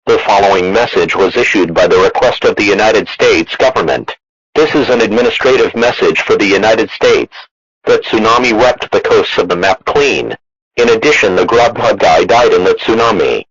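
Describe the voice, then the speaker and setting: A male voice playing an impassive automated emergency alert announcer, reading formal bulletins in a flat monotone. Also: lowish voice, moderate pace, breathy edge, no room sound. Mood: impassive, official. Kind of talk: scripted emergency broadcast bulletin. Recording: dull lo-fi radio-alert sound, loud music.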